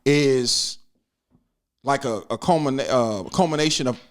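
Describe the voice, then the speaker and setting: A man with a Kansas City Midwestern accent and a slight twang, co-hosting a casual movie review podcast in an animated, slangy way, often repeating himself. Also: mid-range voice, measured pace. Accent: Midwestern American, Kansas City. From Kansas City, Missouri. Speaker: podcast co-host.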